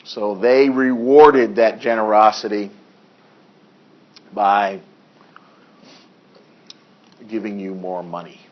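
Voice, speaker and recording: A hoarse male accounting instructor in a classroom lecture, reading figures deliberately and adding dry asides. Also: lowish voice, fairly even intonation, muffled recording. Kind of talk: classroom lecture working through problems.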